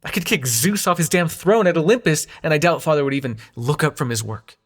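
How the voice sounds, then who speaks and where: Man playing a moody, expressive young dream god. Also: a little high, a little fast. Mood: hurt, vulnerable. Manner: modern slangy, sweary, sarcastic, emotionally expressive. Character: moody young male dream god, sarcastic, wounded, fond. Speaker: voice actor in audio roleplay. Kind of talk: intimate audio roleplay acting.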